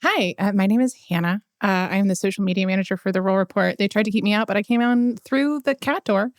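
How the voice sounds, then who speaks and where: A woman guest on a tabletop game podcast, talking hesitantly with quick asides. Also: mid-range voice, no echo, clean studio sound. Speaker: guest player, social media manager. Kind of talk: casual podcast chat.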